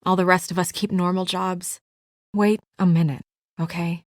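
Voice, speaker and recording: A young woman played by a game voice actress with a fairly low, serious voice, recorded dry and close. She is an earnest, composed official who speaks with measured restraint, in naturalistic video game dialogue. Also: little pitch movement, darkish tone, dry recording, close to an everyday voice.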